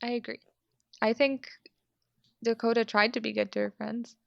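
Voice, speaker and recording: A woman's voice: on the high side, level tone, normal speed, gravelly, dull audio. A woman chatting as a player in a tabletop game, agreeable and laughing easily. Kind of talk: casual chat among players.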